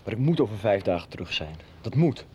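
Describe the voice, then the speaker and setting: A hesitant working man with a low, mellow voice. He sounds worried and torn. It is a radio soap heard over faint street noise. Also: sing-song, fast. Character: reluctant working man torn about leaving.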